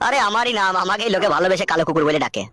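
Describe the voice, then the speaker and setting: Male actor as cocky neighbourhood thug, high pitch, extremely fast slangy talk, crude, bullying, comic cartoon. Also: sharp tone. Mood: smooth swagger.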